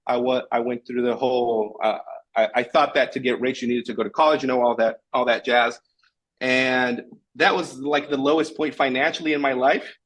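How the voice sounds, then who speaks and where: A man's voice: mid-range voice, level tone, normal speed, a bit bright. A Brazilian man whose first language is Portuguese, talking earnestly and plainly in English on a casual video call and often correcting himself. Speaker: guest presenting a sales case.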